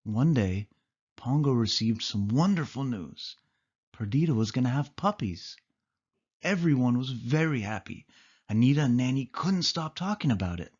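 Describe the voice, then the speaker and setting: A man's voice: medium pitch, animated, normal speed, a little mellow, slightly rough. Man narrating a children's story, muffled audio. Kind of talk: expressive children's read-aloud narration.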